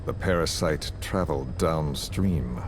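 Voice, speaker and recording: A male actor playing a cold, menacing mastermind in a video game, speaking in a measured, mock-reasonable way. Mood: detached, ominous. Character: cold, menacing villain, calmly coercive mastermind. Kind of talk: dramatic video game voice acting. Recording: background rumble, secretly taped cassette scene.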